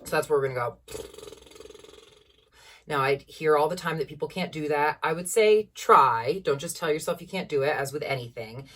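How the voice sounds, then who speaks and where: A woman in her thirties with a low-placed speaking voice, leading a singing warm-up at home in an encouraging, instructive way. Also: normal speed, distant mic. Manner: encouraging, instructive, demonstrates vocal sounds. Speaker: voice teacher. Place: small room at home with a piano.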